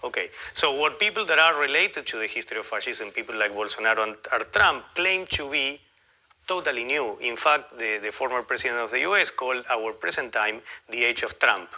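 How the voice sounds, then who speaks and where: A man with an Argentine Spanish accent speaks as a guest lecturer. His voice is mid-pitched, very bright and tense. He talks in a halting, discursive manner, often correcting himself as he goes. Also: animated. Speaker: guest lecturer, academic historian. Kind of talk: spontaneous academic lecture.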